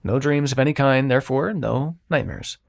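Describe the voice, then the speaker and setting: A man playing a grumpy but reluctantly kind immortal dream-bringer in an ASMR roleplay, brusque and halting. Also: medium pitch, gravelly. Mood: brusque, caring. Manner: brusque, exasperated, halting, softening into concern.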